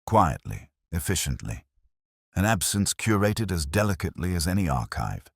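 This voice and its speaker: A man with an extremely deep, cavernous voice tells a noir detective story in the first person. His delivery is measured and brooding, rising and falling expressively through each sentence. The sound is close and completely dry, with no room in it. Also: very animated, husky. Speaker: narrator.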